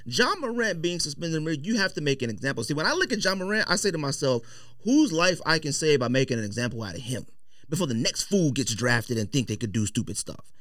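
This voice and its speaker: A man's voice: a little high, sing-song, brisk, fairly bright tone, no echo, studio quality. A man co-hosting a sports podcast, debating casually and emphatically, often repeating words for stress. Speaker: sports and sneaker podcast co-host. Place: podcast studio.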